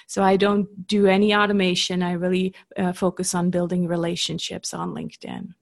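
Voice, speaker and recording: A woman's voice: on the low side, little pitch movement, moderate pace, vocal fry. A woman from Switzerland with a Canadian-tinged English accent, talking casually as a podcast guest about her coaching business and laughing easily between points. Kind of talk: casual interview chat.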